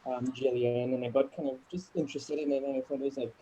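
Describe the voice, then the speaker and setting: A man's voice: medium pitch, fairly even intonation, quick pace, dark tone, a little hiss or hum, poor audio. A man from Trinidad introducing himself casually on a video call, with loose, hesitant phrasing. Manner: loose phrasing padded with "like" and "you know".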